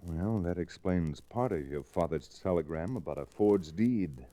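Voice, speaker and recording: An actor playing a level-headed, educated frontier lawyer hero in an old-time radio western, calm and measured. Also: male voice, low voice, no echo. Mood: calm, serious. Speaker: lead actor and in-character narrator. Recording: restored vintage radio drama recording.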